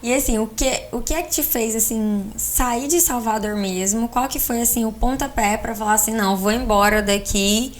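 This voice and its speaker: A woman's voice: on the high side, moderate pace, hardly any pauses, a little mellow. A woman with a Brazilian accent, co-hosting a podcast and talking casually as she asks questions and shares her experience. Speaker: podcast co-host interviewing her partner, architect. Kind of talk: casual conversational interviewing.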